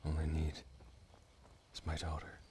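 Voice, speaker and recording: A man's voice: very deep voice, monotone, measured pace, warm tone. An actor playing a weary former killer and devoted father in a video game, subdued and reflective. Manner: subdued, reflective, at times near whispering. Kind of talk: dramatic game dialogue. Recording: noticeable background noise.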